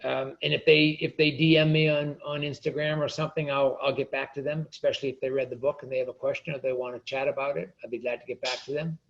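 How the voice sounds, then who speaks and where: A man's voice: mid-range voice, level tone, fast, vocal fry, few pauses, a bit bright, dull audio. A man being interviewed as an author, speaking indignantly and often restarting his sentences. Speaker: author and guest. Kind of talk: interview conversation.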